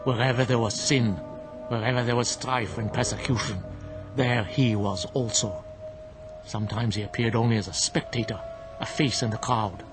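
An actor playing a grave old monk in a radio drama, speaking with solemn, deliberate, preacherly certainty. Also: male voice, mid-range voice, steady tone, lightly acted. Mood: earnest, insistent. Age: older. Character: grave, zealous old monk, unshakably certain of his faith. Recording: music bed behind the voice.

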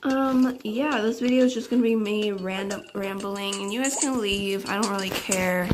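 A woman's voice: mid-range voice, normal speed. A young girl chatting casually over her game, rambling and giggly as she talks to her viewers. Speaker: channel creator. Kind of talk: casual gameplay chat. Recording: game music underneath.

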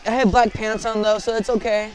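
Teen male prankster, high voice, staged phone calls over busy crowd noise. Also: flat delivery, brisk, darkish tone. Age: teenager. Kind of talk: loud staged phone-call prank in public. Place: school lunch area and gymnasium. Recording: noisy echoing public space, crowd chatter.

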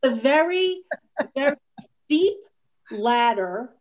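A woman's voice: high voice, lively intonation, slow pace, a little mellow. A woman explaining history emphatically in a panel discussion, speaking indoors on a video call.

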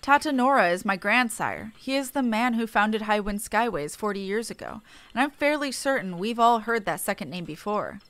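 A woman voicing an earnest small male game character with formal, archaic, measured phrasing. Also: mid-range voice, expressive, a bit bright, dry recording, lightly acted. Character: earnest, formal small grandson of an airship founder.

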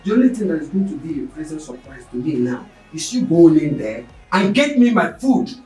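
A man's voice: fairly high pitch, sing-song, fairly quick, a little mellow, recorded from a distance. An actor playing an angry, jobless husband who resentfully demands his food. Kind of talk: melodrama acting. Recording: echoey room from afar, faint music.

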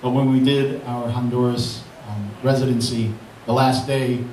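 A man's voice: medium pitch, steady tone, normal speed, darkish tone. A man, a beat producer, giving a rambling, grateful and self-deprecating speech on a mic at a live event. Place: event hall with an audience. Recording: echoey hall mic from far off.

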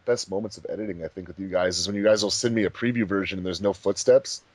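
A man's voice: deep voice, fairly even intonation, quick pace, no room sound. A man chatting casually as a director on a group commentary track.

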